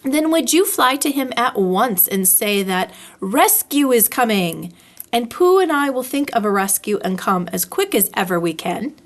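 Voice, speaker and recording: A woman's voice: on the high side, expressive, measured pace. A woman voicing a kind, eager young boy who leads his animal friends in a children's storybook, earnest in manner. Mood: urgent.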